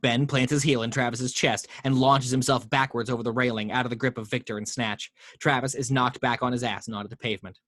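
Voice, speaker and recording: A man at home reading screenplay action lines over a video call, steady with small slips. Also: mid-range voice, fast talker, few pauses. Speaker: table read host reading action lines. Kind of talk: script narration.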